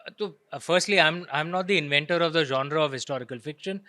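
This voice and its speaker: A man's voice: on the high side, fast, sharp tone, no echo. A man with an Indian accent explaining his views in an interview, emphatic and argumentative, often checking in with rhetorical questions. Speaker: author of historical fiction, interview guest.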